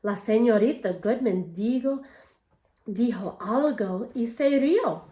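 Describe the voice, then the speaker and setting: Woman teacher, bilingual read-aloud for young kids, slightly high voice, echoey room. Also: expressive, dark tone. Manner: reads in English then Spanish, pauses to ask children questions.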